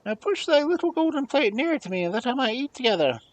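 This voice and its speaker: A man's voice: very high voice, sing-song, fast talker, dry recording, voice pushed up, rough recording. A man voicing a small, insistent talking frog from a fairy tale, imperious and old-fashioned in his phrasing. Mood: demanding. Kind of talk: fairy-tale storybook character voice.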